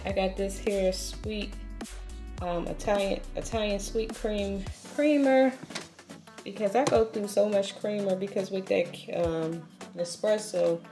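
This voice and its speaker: A woman talking casually to her viewers as she shows what she bought, often calling them y'all. Her voice has a gravelly edge. Music plays clearly underneath her. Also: medium pitch, measured pace, dark tone. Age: adult, has a daughter. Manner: chatty, addresses viewers as y'all. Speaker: vlogger. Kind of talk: casual grocery haul chat.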